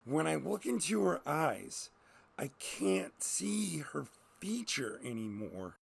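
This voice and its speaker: A man voicing a kindly, troubled husband asking a detective for help, earnest and halting. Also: on the high side, sing-song, creaky, slightly rough, no room sound, exaggerated voice. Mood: pleading.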